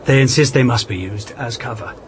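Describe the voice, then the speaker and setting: A man's voice: mid-range voice, fairly quick, a little hiss or hum, lightly acted. An actor playing an anxious, reluctant British intelligence man and protective husband in a radio drama, hesitant and earnest, with clipped old-fashioned speech.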